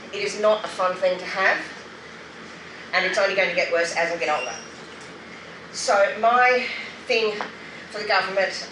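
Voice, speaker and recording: A middle-aged woman with an Australian accent giving a candid, emphatic personal testimony with wry humour in a public speech. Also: medium pitch. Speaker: guest speaker, anti-poverty group member sharing her story. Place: roomy venue at a public event. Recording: roomy, noisy, distant event microphone.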